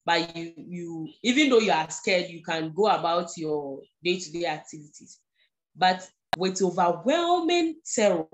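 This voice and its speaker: Slow-speaking Ghanaian woman explaining patiently. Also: on the low side, poor audio. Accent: Ghanaian English. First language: not English. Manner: patient, explanatory, repeats key points, often checks in with "okay". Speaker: guest speaker on mental health. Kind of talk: live-streamed explanatory talk.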